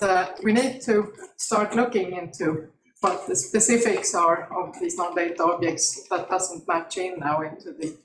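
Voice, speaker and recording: Woman, conference moderator, measured, hesitant, heard from far off in a roomy hall. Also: lowish voice. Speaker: breakout session chair. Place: conference meeting room. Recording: echoey hall, distant mic, background noise.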